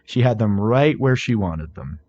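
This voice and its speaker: A man's voice: on the low side, animated, a little slow, a little mellow, highs cut off, slight background noise. A man reading fan fiction aloud as a scripted story narrator. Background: music.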